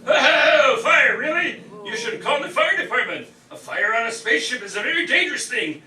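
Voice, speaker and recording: Blustering, rambling comic starship captain male actor, piercingly bright delivery, echoey live-stage radio play sound. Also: very high-pitched, animated, moderate pace, heavily acted. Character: bumbling, oblivious, pompous spaceship captain, a man. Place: live stage performance in a room. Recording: distant mic in echoey room, faint noise.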